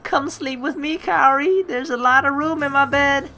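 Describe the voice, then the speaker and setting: A woman's voice: high voice, moderate pace, sharp tone. A young woman doing a playful let's play, switching between casual commentary and theatrical narration read aloud.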